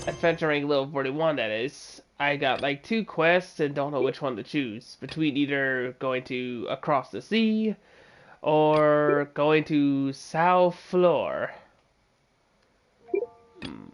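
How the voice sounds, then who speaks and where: Slow-talking man streaming a game. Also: a little high. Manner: halting, many filler words, chats with viewers. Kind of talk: casual live gameplay commentary.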